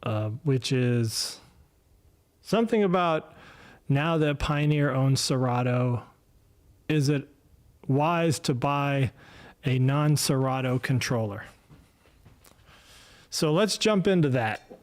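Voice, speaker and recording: Slow, rambling man in his fifties. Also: medium pitch, animated. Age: 50s. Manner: rambling, self-deprecating, many fillers and restarts. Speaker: podcast host. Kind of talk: casual solo livestream chat. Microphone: desk mic, no headphones.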